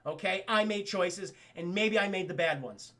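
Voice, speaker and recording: A man's voice: high voice, moderate pace, sharp tone. A man voicing a proud, defensive businessman father in a cartoon recap, speechifying emphatically with stammers. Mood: defensive, impassioned.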